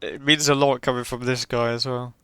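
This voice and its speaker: A man's voice: medium pitch, level tone, fairly quick, pushed and bright, smooth, no echo. A man giving casual game commentary as a streamer, conversational and incredulous, with music behind him. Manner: conversational, incredulous, rhetorical questions. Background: faint game music.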